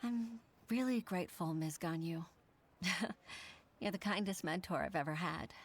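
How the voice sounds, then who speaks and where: A woman's voice: lowish voice, measured pace, husky. A woman playing an earnest, modest and respectful working mother in a video game, speaking politely and sincerely with some hesitation. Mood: moved, grateful. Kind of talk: dubbed game dialogue acting.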